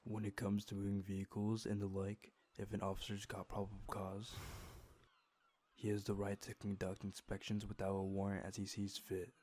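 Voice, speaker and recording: Man playing a low, menacing bully cop. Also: completely flat delivery, a little mellow, lightly acted. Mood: cold, intimidating. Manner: deliberate, menacing, condescending. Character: predatory, bullying male police officer. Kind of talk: fan-dub dramatic acting.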